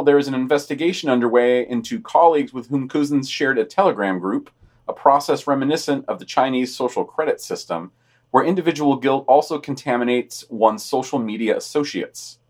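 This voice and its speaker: A man hosting a podcast, reading scripted news items and dropping in dry, opinionated asides. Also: medium pitch.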